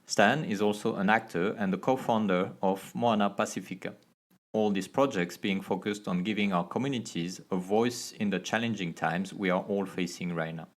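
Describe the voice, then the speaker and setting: A low-pitched man hosts an interview show. He reads his introduction carefully and evenly, then asks his questions with hesitant fillers and restarts. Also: normal speed. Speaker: podcast host.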